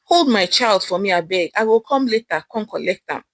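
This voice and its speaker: A woman's voice: mid-range voice, lively intonation, fairly quick, no echo, clean studio sound. A woman storyteller voicing a harried working mother with a Nigerian accent, pleading and chattering in a hurry. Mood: hurried, pleading.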